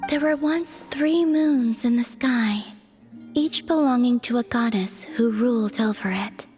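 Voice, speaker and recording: A woman plays an aloof young moon goddess from a video game. Her voice is fairly high, soft and airy, and she speaks very slowly with grave, formal detachment. Music plays behind her. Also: close to an everyday voice. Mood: serene, solemn. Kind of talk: video game cutscene voice acting.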